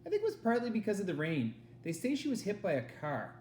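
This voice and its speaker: A man's voice: high-pitched, wildly swooping pitch, quick pace, darkish tone, roomy sound, a little hiss or hum, pitched above the performer's own voice. A man voicing an earnest, reassuring young girl confiding in her best friend in a storybook reading. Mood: somber.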